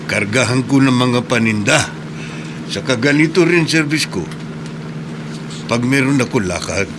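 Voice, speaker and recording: A man acting as a sorrowful middle-aged widower in a radio play, earnest and heavy-hearted, voice sitting at a middle pitch. behind him runs a clear hum of noise with traffic going past. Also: a little slow, natural voice. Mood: sad. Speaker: radio drama actor.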